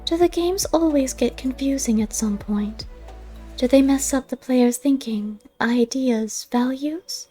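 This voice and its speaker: Woman voicing a wry, mellow, high-pitched she-cat. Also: unhurried, soft background music. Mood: curious, skeptical. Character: wry, curious female cat, a skeptical companion. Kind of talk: audiobook character voice.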